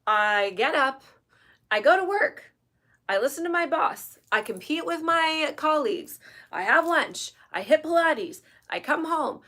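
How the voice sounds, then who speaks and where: Brief: a woman sending up a carefree young childfree woman, high, singsong, smug. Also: expressive, measured pace, sharp tone, heavily acted. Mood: mocking breezy. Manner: sing-song, breezy, mocking.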